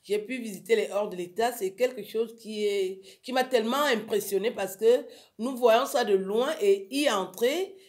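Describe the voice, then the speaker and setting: A woman chatting casually as a radio guest, telling her stories in an animated, exclamatory way. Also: a little high, moderate pace. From Africa. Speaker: guest, writer on violence against women. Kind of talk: casual radio interview chat.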